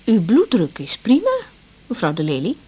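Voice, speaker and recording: An actress in a radio drama playing a young female doctor, bossy but caring, with a brisk, no-nonsense manner. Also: mid-range voice, wildly swooping pitch, warm tone, breathy edge, full character voice. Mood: matter-of-fact. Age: young adult. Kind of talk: naturalistic radio drama dialogue. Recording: slightly noisy old radio recording.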